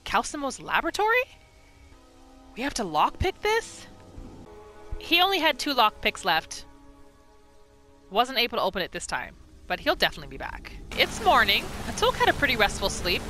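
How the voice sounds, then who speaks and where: Expressive high-voiced woman narrating a game. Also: moderate pace, long pauses. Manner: expressive, reactive, informal. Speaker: gaming roleplay narrator and player. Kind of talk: narrating a video game roleplay. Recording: voice-over with game music behind.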